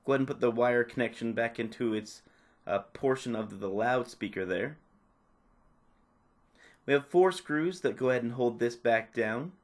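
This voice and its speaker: A man narrating a step-by-step tutorial off the cuff, in a casual, instructional way with softened phrasing. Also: medium pitch, moderate pace, long pauses. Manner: casual, instructional, hedging with "kinda" and "a little bit". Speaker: repair tutorial presenter.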